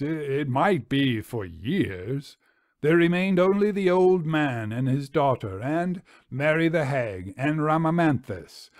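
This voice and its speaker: Guy doing a haunted old-time gentleman telling a dark tale, grave, really slow, dry studio-like sound. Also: mid-range voice, no room sound, voice pushed up. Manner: grave, deliberate, literary storytelling. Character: haunted first-person male narrator of a Victorian mesmeric tale. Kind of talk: dramatic story reading.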